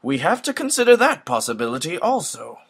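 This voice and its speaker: A man playing a pompous old courtroom judge in an amateur fan dub of a game, formal and ponderous, with a husky voice. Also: a little high, very animated, fairly quick, a bit bright, exaggerated voice. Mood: pondering. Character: pompous, deliberating old male courtroom judge, easily swayed.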